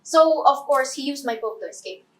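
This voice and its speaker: A woman's voice: sits high, lively intonation, normal speed. A woman playing a cheerful, curious young island heroine in a chatty, theatrical first-person monologue. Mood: animated, chatty.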